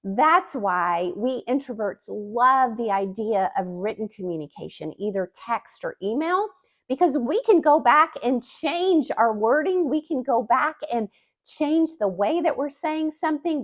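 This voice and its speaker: A woman explaining straight to camera in the manner of a communication coach. Her pitch swings widely as she talks, landing on key words with emphatic rises. The delivery is scripted but expressive. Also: a little slow. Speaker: YouTube host and communication coach.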